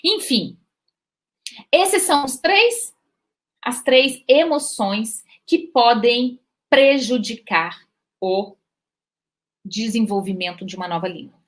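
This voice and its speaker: A woman's voice: fairly high pitch, slow, pauses often. A Brazilian woman talking casually to parents about children learning English, checking in often with short questions. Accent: Brazilian Portuguese. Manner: lively, repetitive, asks "tá?" and "né?" to check in. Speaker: bilingual parenting educator. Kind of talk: informal video talk to parents.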